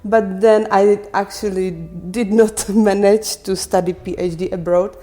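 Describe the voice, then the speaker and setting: Woman, mid-pitched voice. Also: little pitch movement, unhurried, warm tone. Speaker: podcast guest, landscape design teacher. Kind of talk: casual interview answers.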